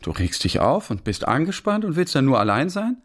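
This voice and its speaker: A man's voice: a little high, very animated, a little fast. An actor playing an earnest, weary male newcomer in a naturalistic radio drama. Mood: reproachful. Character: Taha, weary, questioning male newcomer to Germany.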